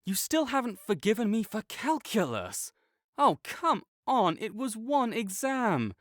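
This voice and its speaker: A man's voice: high voice, sing-song, unhurried, fairly bright tone, no echo, studio quality, exaggerated voice. A young man playing a prickly male academic rival who is grudgingly kind, talking teasingly and defensively to a silent listener in an audio roleplay. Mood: bickering.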